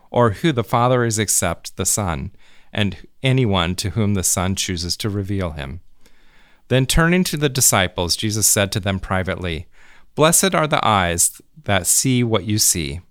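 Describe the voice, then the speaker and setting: A man reading scripture and a short devotional in a measured, solemn way. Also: fairly low pitch, fairly bright tone, clean tone, no room sound, studio quality. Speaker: church music director, scripture reader.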